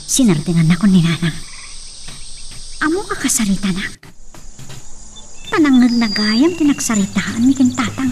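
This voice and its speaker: A woman's voice: a little high, big pitch swings, moderate pace, pauses often, recorded from a distance. Vengeful young Ilocano woman, acted, off-mic. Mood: bitter, resolved. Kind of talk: Ilocano radio drama acting.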